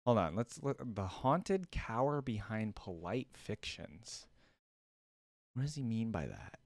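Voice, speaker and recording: A man hosting a reaction video, commenting casually and musing out loud as he goes. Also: on the low side, very animated, slow, dry recording, studio quality. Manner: musing, often repeats quoted lines back.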